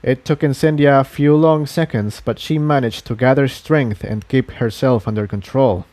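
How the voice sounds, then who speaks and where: A man narrates an adventure story from a script as an amateur reader. His voice is middling in pitch, and the sound is dry with no room echo. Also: normal speed, darkish tone. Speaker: amateur fan fiction reader.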